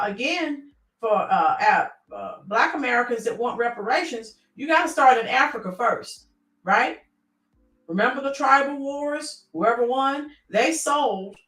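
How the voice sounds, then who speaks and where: Middle-aged woman chatting on a livestream, kinda high voice, lots of ums, sounds far off in a roomy space. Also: fairly bright tone. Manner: rambling, with many fillers, addresses viewers by name. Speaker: livestream host reading viewer comments. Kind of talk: casual livestream chat.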